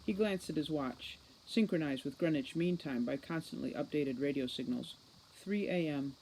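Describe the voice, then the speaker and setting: A woman's voice: low-pitched, normal speed, dark tone, poor audio. Woman reading a storybook, faint noise. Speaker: audiobook reader. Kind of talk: expressive storybook narration.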